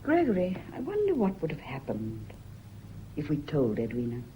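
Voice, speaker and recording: A woman's voice: sits low, wildly swooping pitch, normal speed, warm tone. An actress playing an anxious, suspicious woman, intense and probing, in an old-fashioned British radio drama style. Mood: reproachful. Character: anxious, suspicious woman questioning her secret lover. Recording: dull, noisy old radio recording.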